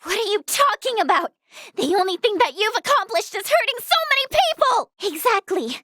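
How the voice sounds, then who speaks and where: A woman's voice: sits high, very animated, unhurried, dry recording, studio quality. A woman playing an earnest, caring young female healer and researcher in a video game, with a hesitant, exclamatory way of talking. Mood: alarmed, upset. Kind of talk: English video game voice acting.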